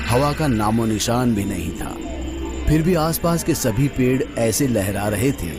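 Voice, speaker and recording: A man's voice: medium pitch, animated. Suspenseful male horror narrator over music. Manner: suspenseful, dramatic pauses and drawn-out phrases. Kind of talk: dramatic scripted horror story narration.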